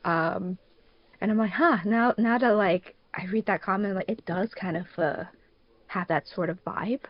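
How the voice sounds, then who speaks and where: A woman hosting a casual podcast chat, thinking aloud haltingly and leaning on filler words as she puts questions to her co-hosts. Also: medium pitch, dull audio. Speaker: podcast host and moderator. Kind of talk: casual podcast chat among a fandub crew.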